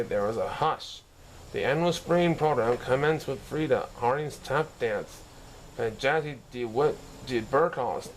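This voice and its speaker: A man narrates a story with lively, swinging intonation at a medium pitch. He reads expressively and now and then trips on a word before carrying on. There is faint noise behind him, with an insect-like chirr. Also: low-quality recording. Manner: expressive reading with occasional stumbles over words. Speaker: storybook reader. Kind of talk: scripted story narration read aloud.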